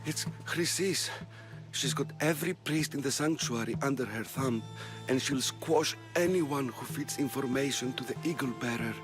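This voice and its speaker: An actor playing a feeble, devout old temple priest in a video game, halting and evasive, telling stories with reverence. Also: male voice, medium pitch, close to an everyday voice. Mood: fearful, urgent. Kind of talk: video game character dialogue. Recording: loud background music.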